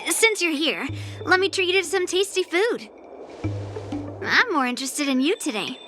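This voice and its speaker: High voiced cheerful young swordswoman from a game, super expressive, confident n breezy, music behind. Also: moderate pace. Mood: breezy, friendly. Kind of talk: video game story dialogue acting.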